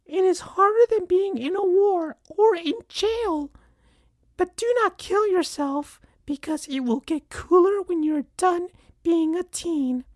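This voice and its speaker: Lady as sad cartoon mom, really high voice, solemn n earnest. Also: big pitch swings, a little slow, darkish tone, no room sound, clean studio sound, exaggerated voice. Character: sad, earnest cartoon mother gently consoling teenagers.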